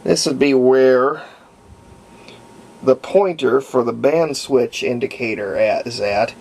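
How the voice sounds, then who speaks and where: A man's voice: mid-range voice, slow, darkish tone. A man with a folksy way of talking narrates hands-on repair work casually, thinking aloud. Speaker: radio restoration hobbyist.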